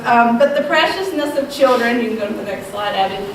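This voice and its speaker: A woman's voice: on the high side, lively intonation, a little fast. A woman in her thirties from Southwest Virginia with a slight Southern accent, giving a casual, self-deprecating talk at a women's retreat. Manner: conversational, self-deprecating, with frequent ums. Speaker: retreat speaker. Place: large echoey room. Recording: very echoey, distant, noisy room recording.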